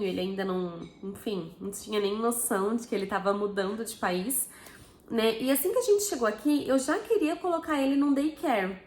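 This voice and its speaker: A woman's voice: fairly high pitch, darkish tone. Chatty Brazilian woman, echoey room. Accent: Brazilian Portuguese. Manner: chatty, frequent tag questions. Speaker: vlogger. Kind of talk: casual personal story to camera.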